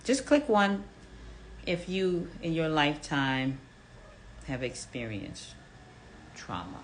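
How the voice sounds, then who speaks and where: Animated low-voiced woman in her fifties. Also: slow, a little hiss or hum, low-quality recording. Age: 50s. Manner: reads out listener comments and asks questions to the room; animated, repeats questions, emphatic. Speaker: fitness coach hosting a live audio room. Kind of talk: live audience chat.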